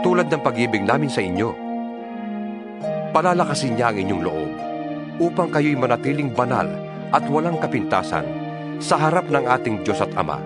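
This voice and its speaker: A man's voice: mid-range voice, close to an everyday voice. A man playing an earnest, fatherly apostle exhorting his flock in a dramatized Bible reading. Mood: grateful, hopeful.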